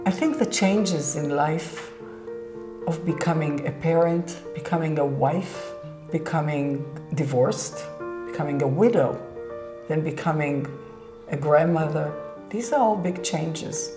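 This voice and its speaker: A woman in her sixties with an Israeli accent, calmly recounting her own life journey in an earnest, measured way. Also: low-pitched. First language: Hebrew. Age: 60s. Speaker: presenter telling her life story. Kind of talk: reflective personal narration. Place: indoor studio set with curtain backdrop. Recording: roomy echo with faint background music.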